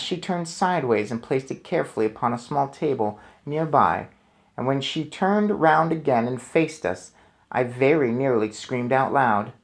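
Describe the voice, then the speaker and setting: A man narrates a children's story aloud in a mid-range voice. He phrases things deliberately and dramatically, as an expressive storyteller would. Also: medium pitch. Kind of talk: expressive children's book narration with casual asides.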